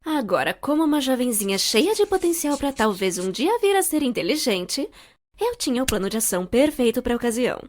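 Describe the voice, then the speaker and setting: A Brazilian woman with a fairly high voice tells a scripted comic story for animation. Her delivery is expressive and playfully sarcastic. Light music runs underneath. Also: brisk. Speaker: storytelling narrator. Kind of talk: scripted comedic narration for an animated storytime fandub.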